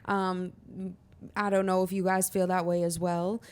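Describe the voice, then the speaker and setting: A woman's voice: lowish voice, level tone, a little slow, smooth. A young woman hosting a podcast, asking casual questions in a chatty, upbeat way. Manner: chatty, upbeat, laughs often. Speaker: podcast host.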